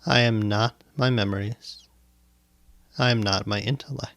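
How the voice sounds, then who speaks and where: A man guiding a meditation as a teacher, speaking in deliberate, instructive phrases with pauses and repetition, close to the microphone. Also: lowish voice, steady tone, a bit bright, no room sound. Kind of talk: guided meditation, spoken instruction. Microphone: close microphone, dry sound.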